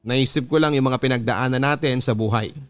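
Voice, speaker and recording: A man's voice: medium pitch, fairly quick. A man with a Filipino accent, a radio host reading listener letters as earnest, scripted first-person storytelling. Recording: music bed under the voice. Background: background music.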